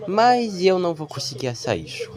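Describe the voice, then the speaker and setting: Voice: mid-range voice, huge pitch swings, moderate pace, a little mellow. A narrator with a Brazilian accent giving a casual, explanatory commentary voice-over as a video creator.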